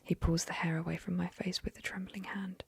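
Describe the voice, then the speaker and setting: A young woman whispering an ASMR story reading into a close mic, softly, with gentle fillers. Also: on the low side, level tone, brisk, creaky, slightly rough, no room sound. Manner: soft whisper, chatty with fillers after the story.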